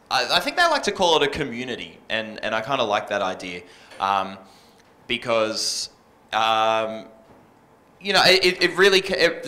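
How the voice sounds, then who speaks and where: A man's voice: medium pitch, pushed and bright. An Australian man in his thirties talking informally as a radio presenter on a panel, into a handheld microphone. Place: stage in an indoor venue with a small audience.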